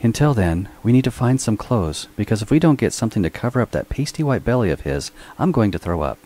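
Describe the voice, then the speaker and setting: A man voicing a sharp-tongued woman bounty hunter with a blunt, mocking manner. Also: on the low side, big pitch swings, fast talker, voice pushed up. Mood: brisk.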